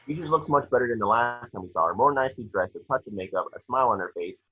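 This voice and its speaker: A man's voice: medium pitch, expressive, fast, bright, forceful tone, slightly rough. A man reading scene descriptions as scripted narration for an amateur table read, on a lo-fi microphone. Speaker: action description reader. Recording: dull, lo-fi microphone sound.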